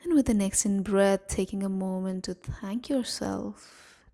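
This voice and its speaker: A woman leading a guided meditation in a soothing, gentle manner, leaving long pauses between short phrases. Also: medium pitch, gravelly. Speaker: meditation guide and series host.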